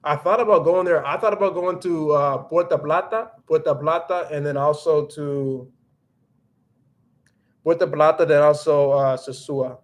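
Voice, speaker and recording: A man's voice: fairly high pitch, steady tone, moderate pace. A young man hosting a casual livestream, chatting loosely, with many restarts and fillers. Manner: rambling with frequent restarts and "like". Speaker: livestream host reading chat. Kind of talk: casual livestream chat, mixing English and Spanish.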